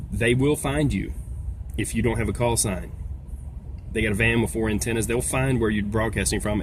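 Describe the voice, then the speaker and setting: A man giving a casual, folksy explainer as a vlog host, talking in a rambling way. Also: lowish voice. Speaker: video host, trucker. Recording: noisy, vehicle-like background sound.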